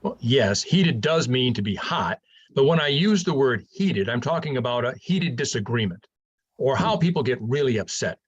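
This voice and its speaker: Older male professor, played by a man: husky, very varied intonation, patient mentor with gentle wit, stage drama, slightly dull recording. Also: mid-range voice, animated, moderate pace. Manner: patient, explanatory, conversational. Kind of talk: staged reading of a science fiction play.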